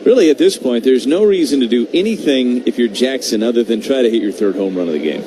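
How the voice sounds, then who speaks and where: Male baseball radio announcer, medium pitch, conversational and dry-witted, with clear noise behind the call. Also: animated, fast. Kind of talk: live radio play-by-play commentary. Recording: noisy stadium broadcast feed.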